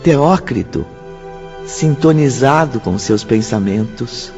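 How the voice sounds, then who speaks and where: Muffled recording with music underneath: a male narrator delivers dramatic scripted storytelling, slow and highly expressive.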